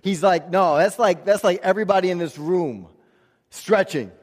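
A man's voice: sits high, moderate pace. A male pastor preaching a church sermon in an emphatic, conversational way, with rhetorical questions and repeated phrases. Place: large room, preaching to a congregation. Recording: reverberant hall sound.

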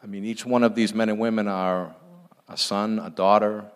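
A man's voice: lowish voice, steady tone, normal speed, clear, resonant tone. A man speaking earnestly at a church, giving an informal testimony with emphatic repetition.

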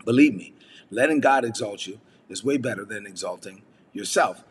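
A man's voice: fairly low pitch, animated, moderate pace. Man, preacher, casual devotional talk, loose and chatty, long reverb, faint hiss behind. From United States. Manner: conversational, with frequent fillers and rhetorical "right?".

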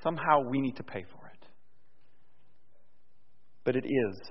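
A man's voice: animated, a little slow. Man preaching in an echoing hall. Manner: swings from soft and low to loud and emphatic; conversational, with restarts and fillers. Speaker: pastor. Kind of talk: sermon. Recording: dull sound with long hall reverb.

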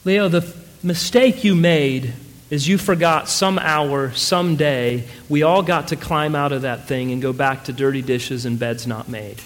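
A man's voice: sits high, lively intonation, measured pace. A man voicing a weary, disillusioned middle-aged wife from a short story, plain-spoken and prone to wailing. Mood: weary, reflective. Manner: wailing, exclaiming, plain folksy phrasing. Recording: echoey hall with long reverb.

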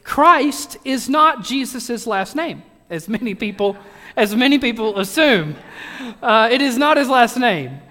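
A high-pitched man preaches a loose, humorous sermon, stumbling over restarts and poking fun at himself and his listeners. His voice carries a long reverb from a large church sanctuary. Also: expressive, unhurried, pushed and bright. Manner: chatty, self-deprecating humor, frequent restarts. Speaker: pastor. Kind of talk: casual joking sermon.

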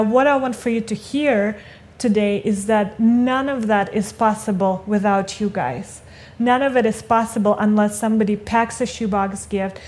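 A young woman who grew up speaking Russian, addressing a congregation from the stage. She speaks earnestly and warmly about her faith, with a voice of average height for a woman. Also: medium pitch. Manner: earnest, heartfelt. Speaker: guest speaker, ministry staff. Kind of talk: church testimony talk. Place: church stage before a congregation.

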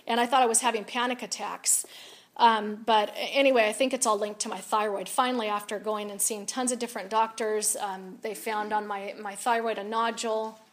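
A woman with a raspy voice giving an animated, confiding storytelling talk to a women's audience. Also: mid-range voice, fairly quick. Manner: animated, confiding, rises and drops a lot. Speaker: speaker at a women's event.

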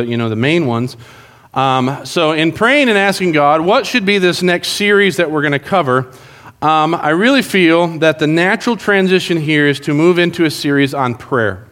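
Guy pastor joking around with his church, kinda high voice, big echoey hall. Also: fairly bright tone. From South America. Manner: jokey, conversational, addresses congregation directly. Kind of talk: sermon. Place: church sanctuary.

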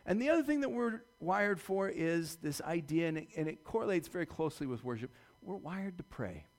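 A man's voice: fairly high pitch, lively intonation, fairly quick. A man preaching a conversational sermon in a slightly scratchy voice, informal and discursive, often checking in with his listeners. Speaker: church preacher.

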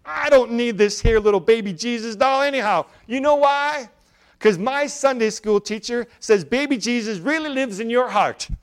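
A man's voice: very high-pitched, normal speed, smooth, pitched above the performer's own voice. A man voicing a poor, eager little girl who talks in a childlike, pleading way with rural grammar. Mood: excited.

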